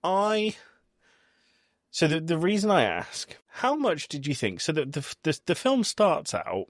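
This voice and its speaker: A man's voice: a little high, big pitch swings, a little slow, no echo, studio quality. A man in his thirties with a British accent, hosting a podcast in casual conversation. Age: 30s. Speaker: podcast host.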